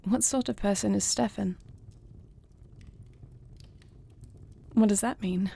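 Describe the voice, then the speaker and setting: A poised dragon queen voiced by a woman speaks softly and intimately to her listener in a fantasy audio roleplay. Her voice is warm and dark, with a breathy husk and touches of creak. She phrases things formally, with tenderness and dry wit. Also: mid-range voice. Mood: curious, caring. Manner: formal, courtly phrasing, soft and affectionate. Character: gentle, poised dragon queen, newlywed, tender and dryly witty.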